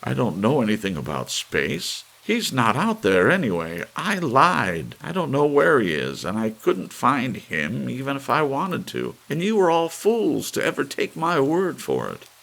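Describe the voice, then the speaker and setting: A man's voice: mid-range voice, huge pitch swings, a little slow, creaky, few pauses, a bit bright, voice pushed up, full character voice. A man voicing a cowardly, morose swindler in an audiobook story, pleading and agitated. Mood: tearful confession. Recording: faint hiss under the voice.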